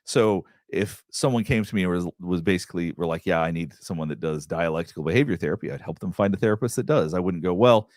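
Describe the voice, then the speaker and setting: A man's voice: low voice, fast, hardly any pauses, a little mellow, no room sound, studio quality. A middle-aged man, a therapist, rambling casually through viewer questions with lots of restarts and fillers. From Southeastern United States. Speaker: therapist hosting a live Q&A. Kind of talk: casual livestream chat answering viewer questions.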